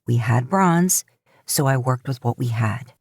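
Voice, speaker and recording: A woman voicing a stubborn young male hunter in a lowered, gruff voice. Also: lively intonation, a little slow, a little mellow, dry recording, studio quality, full character voice. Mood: weary.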